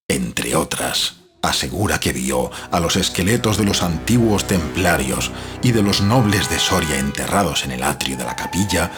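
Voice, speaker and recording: Guy with a really deep voice reading a scary tale, solemn n suspenseful, dramatic pauses, music behind him. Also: fairly bright tone. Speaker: narrator. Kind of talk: dramatic horror story narration.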